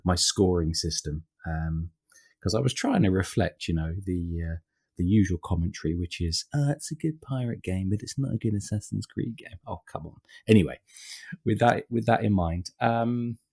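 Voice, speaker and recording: A British man hosting a casual podcast, his voice very low and deep. It is husky and croaky from a lingering cold. He rambles through his points with fillers and frequent chuckles. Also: expressive, normal speed, a little mellow. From Britain. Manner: rambling, laughs often, many fillers. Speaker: podcast host.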